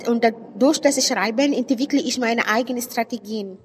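A woman's voice: on the high side, moderate pace. A woman from Sudan, a native Arabic speaker with an Arabic accent, gives reflective interview answers in a searching, emphatic way. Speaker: interviewed writer and poet. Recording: reverberant hall, clear background noise.

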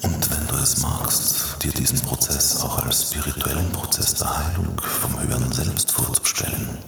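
An actor playing a mysterious, controlling meditation guide in a radio play, speaking in a slow, suggestive half-whisper. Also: male voice, extremely low pitch, creaky, a crowd in the background, full character voice. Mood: hypnotic, soothing. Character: mysterious hypnotic male voice guiding a meditation. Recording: echoing voice under loud music.

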